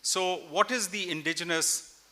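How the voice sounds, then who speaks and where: A man in his forties from Pakistan moderating a festival panel on a stage mic, measured and reflective, thinking aloud with a few fillers. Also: high-pitched, steady tone, bright, forceful tone. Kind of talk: panel discussion at a literary festival. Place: large indoor festival stage with audience. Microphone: stand mic.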